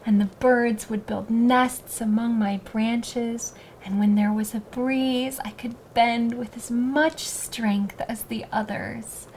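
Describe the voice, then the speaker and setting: Storybook role: restless young fir tree, wistful and questioning, high and unhurried, acted by a woman. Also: fairly even intonation, darkish tone, a little hiss or hum, rough recording. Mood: wistful sighing. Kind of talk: children's storybook character voice.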